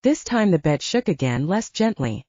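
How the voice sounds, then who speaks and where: A woman's voice: on the low side, sing-song, moderate pace, mellow, husky, no room sound, highs cut off. A woman reading scripted first-person fiction as an audiobook narrator.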